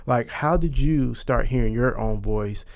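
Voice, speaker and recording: A man's voice: mid-range voice, measured pace, vocal fry. A male host interviewing a guest, with a habit of affirming her with "mm-hmm" and "right.". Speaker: podcast host. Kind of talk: interviewer asking questions.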